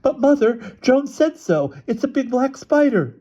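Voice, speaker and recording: A man voicing a squealing, gullible little girl in a storybook, with shrill, urgent whining. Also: very animated, measured pace, mellow, dull audio, full character voice. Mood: pleading.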